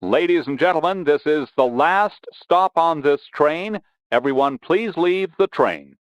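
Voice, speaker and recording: A man's voice: on the high side, lively intonation, measured pace, clean tone, dry recording, dull audio. A man reading scripted public service announcements as a subway train announcer.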